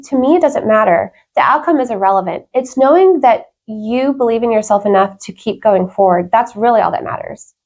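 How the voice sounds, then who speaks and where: High-voiced woman telling her story in an interview. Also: darkish tone. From Wales. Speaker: talk show guest, violinist.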